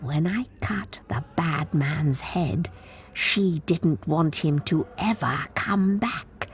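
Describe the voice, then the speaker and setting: A woman's voice: low-pitched, slow. A woman playing a childlike, disturbed asylum patient in a video game, plaintive and confiding. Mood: sweet, confiding. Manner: childish, plaintive, drifting into breathy confiding whispers. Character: childlike disturbed female asylum patient devoted to her doll.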